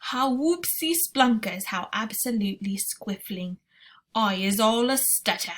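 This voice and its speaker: Woman putting on a big kindly old giant, slow and booming, jumbled grammar, storybook voice. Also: a bit bright, close to an everyday voice. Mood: delighted. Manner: slow, booming, mangled words.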